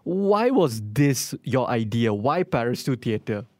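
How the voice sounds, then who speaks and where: Man, interview host, medium pitch, very dry recording, encouraging conversational delivery with recaps leading into questions. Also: very animated, takes it slowly, a little mellow, dry recording. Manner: encouraging, recaps the guest's story before asking.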